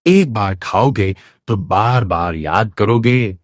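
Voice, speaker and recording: A man acts out a sly village sweet seller from an animated moral tale. He has a coaxing vendor's patter and a greedy streak. The sound is very dry, with a slightly low pitch. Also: slow pace, no echo. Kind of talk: animated moral story voice acting.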